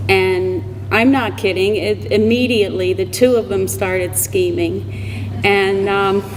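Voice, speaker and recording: A woman in her sixties gives an informal speech of thanks in an echoing hall with some noise around her. Her pitch is average, and she talks conversationally, feeling her way through the words. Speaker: donor giving thanks at a ceremony. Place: large room, public event. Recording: echoey hall with background noise.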